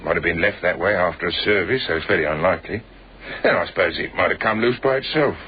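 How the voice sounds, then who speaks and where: Garage mechanic, deep register, plain matter-of-fact delivery, radio drama, muffled recording. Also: male voice, fast, slightly rough.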